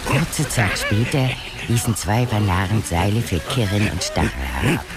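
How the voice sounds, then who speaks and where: A man's voice: lowish voice, expressive, normal speed. A man reading scripted narration in a fantasy radio drama. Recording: loud music under the voice.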